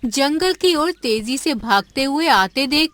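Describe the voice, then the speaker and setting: Slow-telling woman narrator. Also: high-pitched, expressive, a little hiss or hum. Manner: slow, deliberate storytelling. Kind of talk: scripted storybook narration. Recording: narration over loud music.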